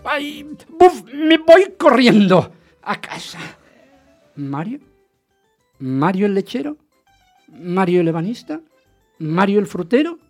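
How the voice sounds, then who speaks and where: Jealous husband, radio drama, highly animated intonation, agitated, insistent, dramatic storyteller. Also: male voice, slow pace, long pauses, faint music behind, heavily acted. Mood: agitated, demanding. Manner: dramatic, insistent, swinging from narration to heated questioning. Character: jealous, agitated husband recounting his hunt for a rumour. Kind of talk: radio theatre narration and dialogue.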